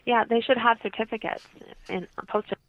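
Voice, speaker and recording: A woman's voice: fairly high pitch, fairly even intonation, moderate pace. A woman explaining things informally as a guest in a radio interview, heard in dull lo-fi audio.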